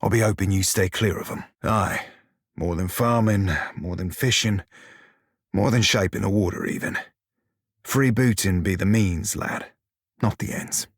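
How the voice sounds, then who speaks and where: A man voicing a roguish, irreverent pirate sailor in a fantasy video game, sardonic and bantering in archaic nautical slang, with a husky, gravelly voice. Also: on the low side, a little slow, no echo, clean studio sound. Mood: wry, casual. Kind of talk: video game voice lines, banter and fight barks.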